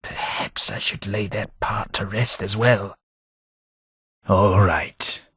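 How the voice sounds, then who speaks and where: An actor playing a melancholy, formal old British former taxman in a video game, husky and measured in his wordy speech. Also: low voice, no echo, clean studio sound, full character voice. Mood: wistful, resigned. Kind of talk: video game character dialogue.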